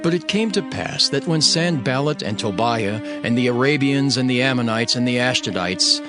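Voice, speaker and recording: A man narrating dramatized scripture in a formal, measured reading manner. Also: medium pitch, animated. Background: prominent music.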